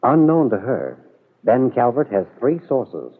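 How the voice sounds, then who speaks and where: A man with a husky voice giving dramatic scripted narration for a 1940s radio serial. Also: mid-range voice, very animated, a little slow. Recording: muffled old radio broadcast.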